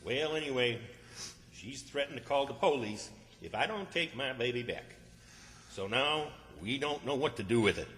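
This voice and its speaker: A man's voice: mid-range voice, lively intonation, normal speed, a bit bright, close to an everyday voice. Man playing a blustering rustic fellow in an echoey hall. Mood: earnest. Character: exasperated, bossy small-town man, rustic and blustering. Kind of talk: old-time radio comedy acting by amateur players. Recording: echoey hall with long reverb.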